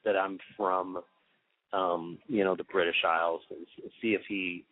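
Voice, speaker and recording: Male musician guest, rambling storyteller, low voice, phone sound. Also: normal speed. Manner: rambling, many restarts, animated. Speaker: guest pianist. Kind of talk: casual interview storytelling. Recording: tinny phone line, dry.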